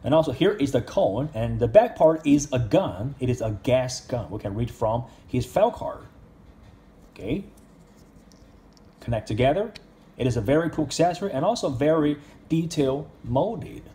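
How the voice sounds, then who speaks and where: Guy showing off a toy figure, voice bounces up and down a lot, kinda mellow tone, simple english. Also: mid-range voice, animated, moderate pace. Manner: non-native English, simple phrasing. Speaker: toy reviewer. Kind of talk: casual product review.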